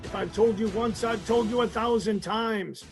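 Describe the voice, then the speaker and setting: A man playing a theatrical Viking warrior in a comedic scripted radio ad, with a gravelly voice. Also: high voice, moderate pace, over music. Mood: mock-solemn, hearty.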